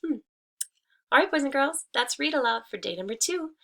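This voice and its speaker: A woman teaching and reading aloud to children, speaking deliberately and expressively and addressing them directly. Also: high-pitched, animated, creaky, a bit bright. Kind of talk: children's read-aloud, instructional storytelling.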